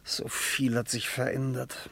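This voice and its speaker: An actor playing a wistful traveler searching through his past in a radio drama, speaking in halting, broken phrases. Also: mid-range voice, monotone, creaky, fairly bright tone, husky, dry recording, heavily acted. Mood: bewildered, dejected.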